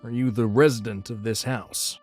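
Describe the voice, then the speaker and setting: Man playing a formal mid-pitched police officer. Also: fairly even intonation, normal speed, darkish tone, faint music behind, natural voice. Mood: official. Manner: formal, questioning. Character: businesslike male police officer on a call. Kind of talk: manga dub voice acting.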